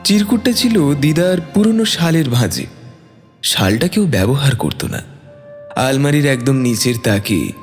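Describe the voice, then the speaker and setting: Male narrator for audio fiction, medium pitch, lively intonation, soft music underneath. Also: measured pace. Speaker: story narrator. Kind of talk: scripted dramatic narration. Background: soft background music.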